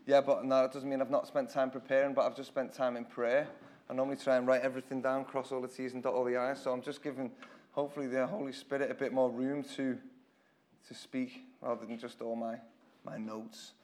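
A man preaches to his church with a hoarse, croaky voice. His style is casual and conversational, full of repeated phrases and small restarts, and his pitch sits in an ordinary middle range. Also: flat delivery, a little fast, darkish tone. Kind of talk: informal church sermon. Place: church hall with congregation.

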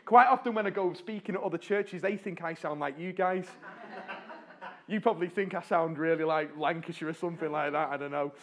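Chatty man addressing a congregation. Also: a little high. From North West England. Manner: warm-hearted, chatty, asks the audience questions; fillers and restarts, pauses while slides change. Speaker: charity speaker at a church. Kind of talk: church talk to a congregation. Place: church.

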